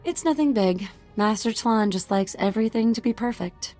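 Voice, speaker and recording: An actress playing an anxious young servant in a video game, deferential, guarded and easily flustered. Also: female voice, on the high side, animated, moderate pace, darkish tone, faint music behind, natural voice. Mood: guarded, busy.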